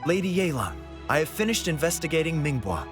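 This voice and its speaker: A man's voice: a little high, big pitch swings, fairly quick, music in the background. A man playing an earnest, competitive young helper who reports dutifully in an anime game.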